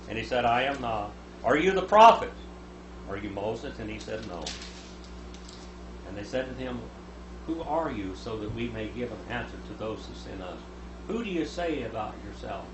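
A man in his seventies teaching the Bible at a lectern, reading scripture aloud and voicing its speakers louder. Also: mid-range voice. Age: 70s. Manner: reads scripture aloud, rising loud for quoted speakers. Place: small room with a lectern. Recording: echoey room, noticeable hum.

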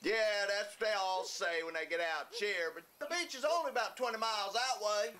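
A man's voice: sits high, fairly quick, bright, forceful tone, slight background noise. A man playing a friendly, rambling rural local with a Southern US accent and a folksy, repetitive way of talking in a drama. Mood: helpful, folksy. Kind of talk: acted drama dialogue.

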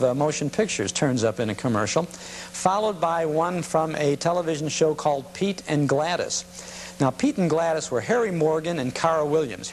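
A man hosting a nostalgia broadcast, introducing material in a conversational, halting, explanatory way. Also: fairly high pitch. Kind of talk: casual unscripted introduction of vintage commercials. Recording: clearly noisy old TV tape with hum.

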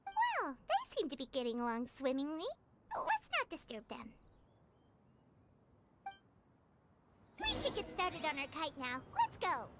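A woman voicing a tiny floating fairy girl companion in an anime game, excitable, childlike and easily offended, with a squeaky voice. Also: moderate pace, very noisy. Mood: cheerful. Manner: refers to herself by name.

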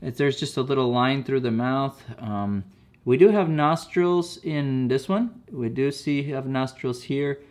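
A man casually reviewing a chess set at a table indoors, talking slowly with many fillers and repeated words. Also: medium pitch, a little mellow.

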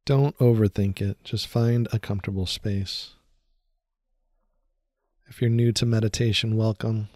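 A man leading a guided meditation in a soothing, unhurried way, speaking in short phrases with long pauses. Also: fairly low pitch, fairly even intonation, warm tone, no echo, studio quality.

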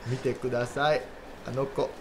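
An actor playing an excitable, lovestruck young man, cocky yet insecure, in slangy junior's speech for a comedic radio drama. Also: mid-range voice, normal speed, slight background noise, natural voice. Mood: agitated, boastful.

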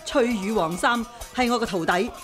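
A woman's voice: fairly high pitch, moderate pace, lightly acted. An actress playing a feisty, impatient older female martial arts master in a radio drama, blunt and brusque, with a Hong Kong Cantonese accent. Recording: radio drama with music behind.

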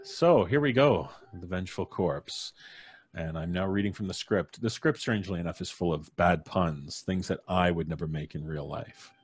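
Middle-aged man, lowish pitch, podcast host, casual live-stream chat, studio mic. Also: normal speed, breathy edge, dry recording. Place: indoor home studio. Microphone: studio microphone on a boom arm.

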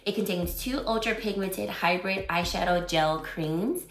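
A chatty, upbeat woman in her forties, her voice a touch raspy as it comes back. She sits a little low for a woman. A roomy echo hangs around her words in a small home room. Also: a little slow. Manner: chatty and upbeat, detailed product talk. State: slightly raspy from losing her voice. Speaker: beauty vlogger. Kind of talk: casual makeup review.

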